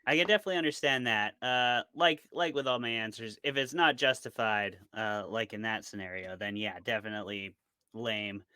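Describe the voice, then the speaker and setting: A man's voice: on the low side, moderate pace, bright, forceful tone, clear, resonant tone, no echo, studio quality. A man talking informally as an interview guest, rambling casually through examples. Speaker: interview guest, author and podcaster. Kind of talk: casual chat about fiction.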